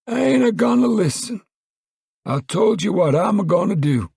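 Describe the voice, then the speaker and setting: A man voicing a stubborn, cantankerous old male farmer with a drawl who cusses defiantly in a high, shrill voice. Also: slow pace, creaky, darkish tone, breathy edge, no echo, studio quality, full character voice. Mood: angry.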